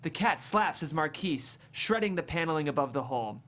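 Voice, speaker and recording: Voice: mid-range voice, animated, fairly quick, a bit bright. A narrator reading comedic screenplay stage directions aloud at a table read in a vivid, amused way.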